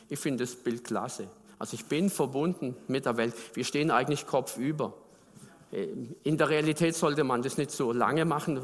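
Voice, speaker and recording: A man's voice: medium pitch, long reverb tail. A man from Baden in Germany preaching a sermon, talking conversationally and putting questions to the congregation.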